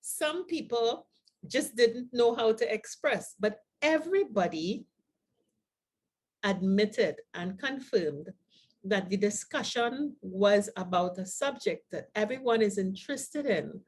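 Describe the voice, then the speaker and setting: An older woman co-hosting a talk show, speaking to viewers in a deliberate, emphatic manner. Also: medium pitch, lively intonation. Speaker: co-host of a show for the over-fifties.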